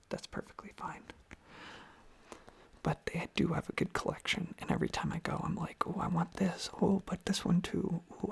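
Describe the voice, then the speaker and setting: Someone rambling softly in a breathy, husky ASMR whisper close to the microphone, casually repeating little phrases. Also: medium pitch. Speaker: ASMR video creator.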